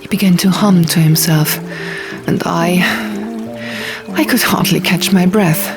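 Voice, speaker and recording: A woman narrates an audiobook in a slow, measured, dramatic manner. Her voice carries a marked creak. Music plays clearly underneath the reading. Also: lowish voice, fairly even intonation. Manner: measured, deliberate phrasing. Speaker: audiobook reader. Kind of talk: dramatic scripted fiction narration.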